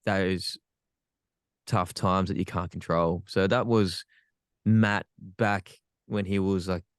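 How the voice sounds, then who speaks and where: A man's voice: sits low, steady tone, slow, dry recording, studio quality. An Australian man, a podcast guest, telling his life story frankly with self-deprecating humor. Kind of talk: candid personal storytelling.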